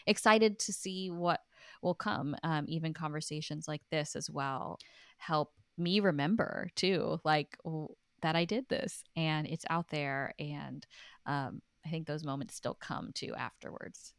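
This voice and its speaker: Woman w a low voice, dry close sound, chatting earnest and reflective like an interview guest. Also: unhurried, fairly bright tone, smooth, dry recording. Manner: earnest, informal, with frequent "like". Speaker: guest, writer and author. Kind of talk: casual, reflective interview talk.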